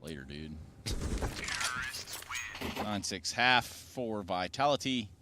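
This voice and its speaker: A man analysing an esports match in casual, teasing desk banter that turns loud when excited. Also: on the low side, slow pace, heavy background noise.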